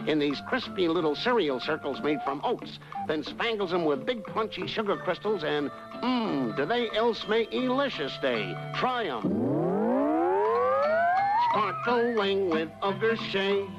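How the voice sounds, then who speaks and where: A man's voice: sits high, very animated, a little slow, exaggerated voice. A man voicing an eager cartoon toucan mascot in a vintage cereal commercial, playing with Pig Latin. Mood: eager, pitching. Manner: talks in playful Pig Latin. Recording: dull lo-fi old advert, loud music.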